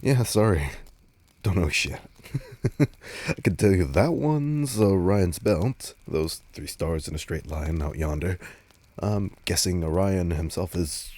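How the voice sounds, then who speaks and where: A man playing a laid-back, teasing, protective vampire on a date in an intimate audio roleplay, speaking casually with a husky, breathy voice. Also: low-pitched, expressive, slow, darkish tone, no room sound, exaggerated voice. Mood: wry, relaxed.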